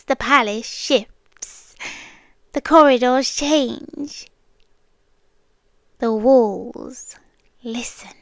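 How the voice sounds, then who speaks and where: British woman doing a creepy sweet siren queen for asmr, possessive yandere type, really slow soft teasing talk w whispers, dry sound. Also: on the high side, no room sound. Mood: coy, sinister. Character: possessive siren queen, female monster, sweetly menacing, obsessively loving. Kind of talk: intimate ASMR roleplay.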